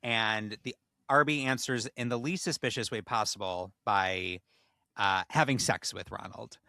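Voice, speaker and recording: Bright-voiced man chatting on a podcast. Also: fairly low pitch, measured pace, no room sound, studio quality. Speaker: podcast co-host. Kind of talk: casual chat.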